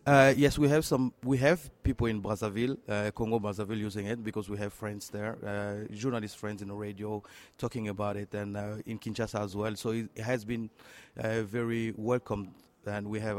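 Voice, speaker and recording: Low-voiced man with a French accent, halting. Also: little pitch movement. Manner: halting, repeats words while searching for phrasing. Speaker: interview guest, tech entrepreneur.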